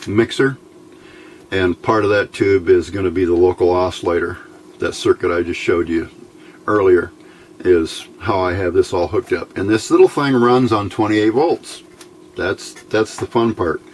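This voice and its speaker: Slow, low-voiced man explaining electronics. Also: slight background noise. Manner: halting, thinking aloud while handling parts. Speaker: electronics hobbyist presenter. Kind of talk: informal hands-on electronics tutorial.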